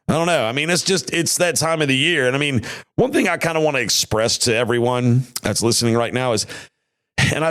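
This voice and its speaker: A man hosting a podcast in casual chat, his voice tired and worn, rambling in a folksy way with many restarts. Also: mid-range voice. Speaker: podcast host. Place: home studio.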